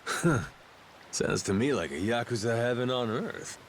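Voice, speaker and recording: A man's voice: mid-range voice, animated, unhurried, a little hiss or hum. A man voicing a wry, informal male boat captain and adventurer in a video game dub. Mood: curious, casual.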